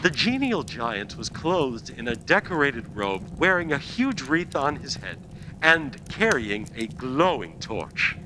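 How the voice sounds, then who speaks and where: A man's voice: medium pitch, sing-song, slow, background noise. A man narrating a scripted radio play as storyteller and announcer.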